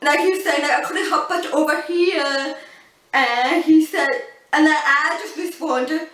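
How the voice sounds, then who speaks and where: A young woman with a deaf accent talks slowly and earnestly, her high voice shaping each sound with a wide mouth and strong emphasis. She is a little distant from the camera's built-in mic, so the sound comes across roomy and echoey. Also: a bit bright. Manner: slow, earnest, with frequent ums. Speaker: vlogger. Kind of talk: casual storytelling to camera.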